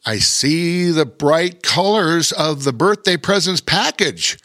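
A man's voice: on the high side, animated, takes it slowly, no room sound, studio quality, voice pushed up, heavily acted. Pete the Pirate, a man doing a kind, cheerful pirate. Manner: gentle explaining tone.

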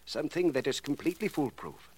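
A man acting in a 1940s radio drama as a cold, precise, self-assured criminal professor who speaks formally and deliberately. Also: fairly high pitch, lively intonation, a little mellow, breathy edge. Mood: musing, calculating. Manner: deliberate, educated, formal wording. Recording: noisy vintage radio recording.

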